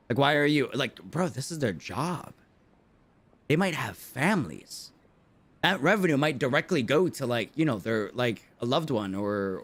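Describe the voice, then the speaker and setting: A man's voice: mid-range voice, no room sound. Guy streaming, slangy and earnest, chatting casually over a game, dry mic, repeats stuff for emphasis. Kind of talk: casual livestream game commentary.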